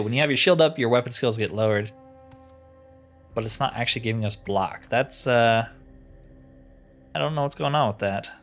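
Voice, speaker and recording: A man's voice: mid-range voice, a bit bright. A man giving casual let's play commentary, chatty and informal as he thinks aloud. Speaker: gaming channel host.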